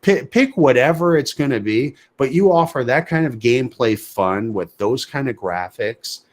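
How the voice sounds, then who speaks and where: A man co-hosting a podcast in an opinionated, casual discussion, emphatically asking and answering his own questions. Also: mid-range voice. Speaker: podcast co-host.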